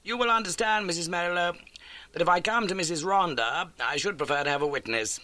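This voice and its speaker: A man voicing a brisk, courteous gentleman detective in an audiobook, questioning in precise, formal phrases. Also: sits high, no room sound, voice pushed up. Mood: brisk, inquisitive. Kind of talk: audiobook character acting.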